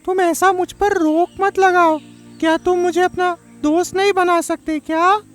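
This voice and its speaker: A woman's voice: very high voice, fairly even intonation, measured pace, soft background music. A woman voicing a young male frog, polite and pleading, in a children's cartoon story. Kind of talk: Hindi children's animated story acting.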